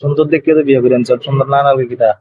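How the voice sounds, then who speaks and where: A man's voice: mid-range voice, fairly even intonation, very fast, no room sound. A man chatting casually and intimately on a call, in colloquial, teasing banter. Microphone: phone video call. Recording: dull, lo-fi call recording.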